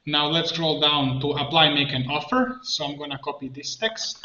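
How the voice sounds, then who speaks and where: A man presenting an improvised software tutorial, thinking aloud haltingly as he works at a computer. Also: on the high side, steady tone. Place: indoor room at a computer. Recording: dull, echoey room, clear background noise. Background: keyboard typing and mouse clicks.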